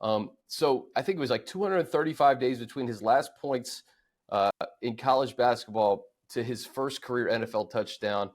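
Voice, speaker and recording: A man's voice: medium pitch, moderate pace. An American man with a mid-range voice hosts casual sports talk. He speaks conversationally, leaning on key words for emphasis as he runs through stats and takeaways. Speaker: sports podcast host.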